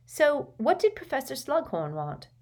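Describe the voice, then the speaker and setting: A woman voicing a bright, opinionated teenage schoolgirl in a storybook, earnest and anxious. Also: high-pitched, big pitch swings, pitched above the performer's own voice. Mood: inquisitive. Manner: earnest, quick to react.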